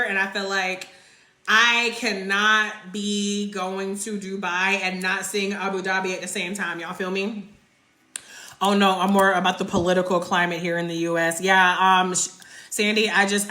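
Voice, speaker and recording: Chatty bright-voiced woman in an echoey room. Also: medium pitch. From North Carolina, US. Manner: chatty and rambling, many "like" fillers, answers comments aloud. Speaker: travel creator hosting a live Q&A. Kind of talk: casual livestream chat reading viewer comments. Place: indoors at home under a ring light.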